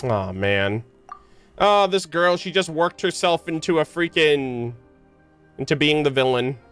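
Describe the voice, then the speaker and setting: A man's voice: on the high side, lively intonation, a little slow, fairly bright tone, smooth, soft background music. A man giving casual, slangy live reactions while playing a game, muttering asides on a close mic. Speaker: game streamer.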